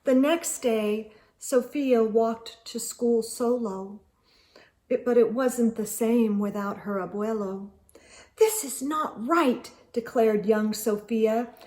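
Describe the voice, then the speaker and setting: Lady doing kids storytime, really high voice, takes it slow, animated and talks right to the little ones. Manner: animated, emphatic, addressing young listeners directly. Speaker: children's storytime host reading picture books aloud. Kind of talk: expressive read-aloud storytelling for children.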